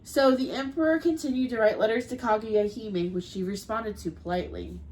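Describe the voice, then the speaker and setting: A young woman with a cold reads a folk tale for a podcast, stumbling and restarting now and then. Her voice sits a bit high, with faint noise underneath. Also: brisk, a little mellow, poor audio. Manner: reads with small slips and restarts. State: has a cold. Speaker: podcast co-host. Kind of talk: reading a folk tale aloud, then casual chat.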